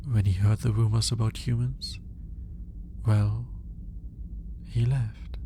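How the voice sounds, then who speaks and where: Man playing a slow, mellow, lonely alien scientist. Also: mid-range voice, slight background noise. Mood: wistful, hurt. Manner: hesitant, halting, musing aloud with sighs. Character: lonely male alien scientist, obsessive, awkward, smitten, lovelorn. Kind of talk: intimate ASMR audio roleplay monologue.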